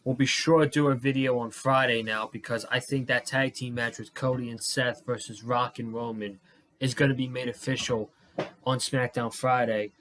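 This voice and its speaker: A young man reviewing a wrestling show on his channel, losing his voice and worn out, talking excitedly with a lot of swearing and rambling. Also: medium pitch, moderate pace, a little hiss or hum. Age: young adult. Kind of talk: casual solo review vlog.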